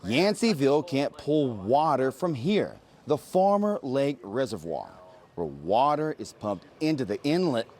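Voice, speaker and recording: A male TV reporter with a medium-pitched voice. He speaks in scripted news cadence, stressing key phrases with punch, as in a field report or live shot. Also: very animated, unhurried, darkish tone. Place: mostly outdoor standups on location, some voice-over.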